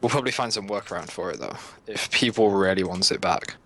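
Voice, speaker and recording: A man's voice: low-pitched, fast talker, pushed and bright, a little hiss or hum. A man co-hosting a gaming livestream, casually explaining answers to viewer questions with fillers. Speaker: server livestream co-host.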